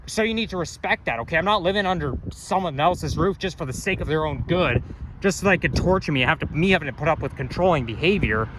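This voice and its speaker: A man's voice: a little high, lively intonation, fast. A young man venting and swearing in frustration while filming a walking vlog outdoors on a city street. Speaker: vlogger. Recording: street traffic noise.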